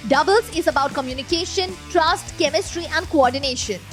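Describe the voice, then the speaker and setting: A woman with an Indian English accent co-presenting a fitness race with brisk, clear delivery. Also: high voice, fairly bright tone, faint music behind. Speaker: race commentator. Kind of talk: scripted sports commentary.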